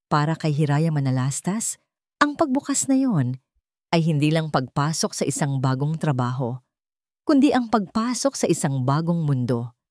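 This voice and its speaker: A woman's voice: fairly low pitch, no echo, studio quality. A woman narrating a scripted dramatic story as a storyteller.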